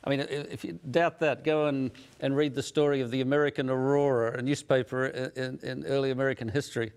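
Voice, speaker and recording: A middle-aged man hosting a studio talk show, speaking in a measured way with frequent chuckles and hesitant uhs. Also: medium pitch, flat delivery, a little mellow, smooth. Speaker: host, magazine editor.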